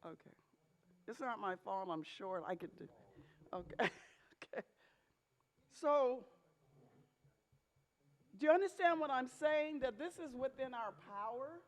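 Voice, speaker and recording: A woman's voice: high voice, animated, measured pace, pauses often, dry recording. A woman addressing a group of pastors in an emphatic talk, often checking in with "okay?" and asking rhetorical questions. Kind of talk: emphatic talk to a church audience.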